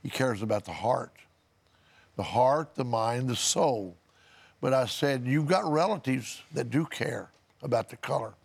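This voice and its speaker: A man speaking as a pastor on a religious panel, telling anecdotes in a halting way and repeating words. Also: mid-range voice, animated, creaky, slightly rough.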